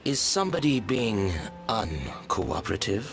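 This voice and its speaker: A cold, imperious male villain from a video game. He speaks low and very slowly, with formal menace that rises and falls. Music plays clearly behind him. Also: big pitch swings, full character voice. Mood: cold, threatening.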